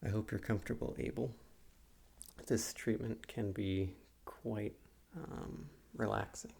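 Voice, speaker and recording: A man playing a soft-spoken, quietly sinister psychiatrist in an ASMR roleplay, with measured, hesitant clinical speech and a husky, gravelly voice. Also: lowish voice, steady tone, full character voice. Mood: soothing, measured.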